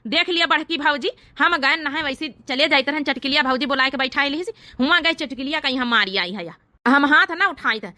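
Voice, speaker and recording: Woman acting cartoon village husband, Awadhi accent, bright forceful tone, dry recording, exasperated, argumentative, grumbling. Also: sits high, fairly quick, no room sound. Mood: indignant. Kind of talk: exaggerated cartoon comedy dialogue.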